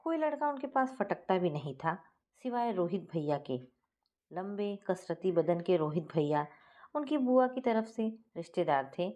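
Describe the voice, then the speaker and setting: A woman's voice: on the low side, big pitch swings, normal speed, dark tone. Woman narrating a family story. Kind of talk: scripted first-person family story narration.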